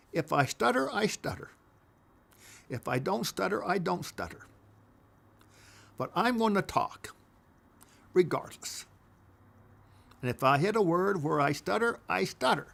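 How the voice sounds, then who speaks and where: Gravelly older man telling his story. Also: on the high side. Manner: emphatic, dramatic swings between loud declarations and dropped whispers. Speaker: storyteller recounting his own past. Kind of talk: personal storytelling monologue.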